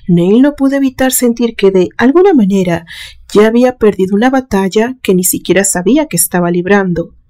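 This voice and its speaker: A woman's voice: mid-range voice, warm tone. A woman narrating a scripted suspense story in a solemn, dramatic storytelling manner. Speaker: narrator, literary channel host.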